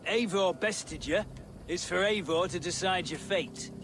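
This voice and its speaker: A man's voice: high-pitched, a little slow, background noise. A man playing a blunt, plain-spoken Saxon ally of a Viking warrior in a video game. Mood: stern, scornful. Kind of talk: dramatic video game acting.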